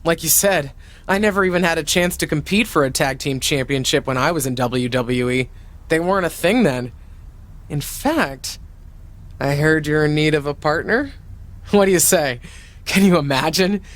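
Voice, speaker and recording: An actress playing a playful middle-aged aunt and former pro wrestler in video game dialogue, chatty and teasing. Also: female voice, low voice, animated, moderate pace. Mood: eager, teasing.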